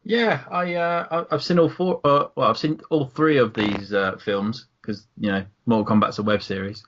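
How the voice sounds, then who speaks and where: A co-host with a mid-pitched voice rambles cheekily, halting and repeating himself as he goes. The recording is dull and lo-fi. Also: little pitch movement, a bit bright. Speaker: podcast co-host. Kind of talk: casual panel discussion. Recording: dull lo-fi sound.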